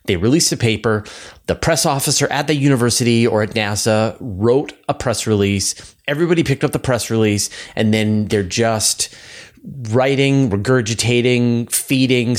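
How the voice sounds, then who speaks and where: A man hosting a podcast in a casual, conversational style, with emphatic repetition and asides. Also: mid-range voice. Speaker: podcast host.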